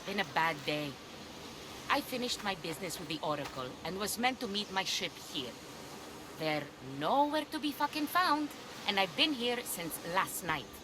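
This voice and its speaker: A woman's voice: medium pitch, very animated, normal speed, noisy background, natural voice. An actress playing a blunt, sardonic, foul-mouthed female pirate ship captain in a video game cutscene. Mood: grumbling, sardonic.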